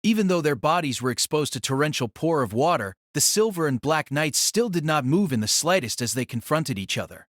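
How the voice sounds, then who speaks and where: A man narrating a scripted action story as an audiobook reader, with a measured, formal, literary delivery. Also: on the high side, expressive, fairly bright tone, no echo, studio quality.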